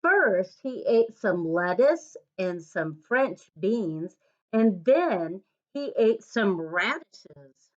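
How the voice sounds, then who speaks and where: A woman reading a children's story aloud, with deliberate pauses between short phrases. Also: medium pitch, very animated. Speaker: storybook reader. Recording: dull lo-fi recording.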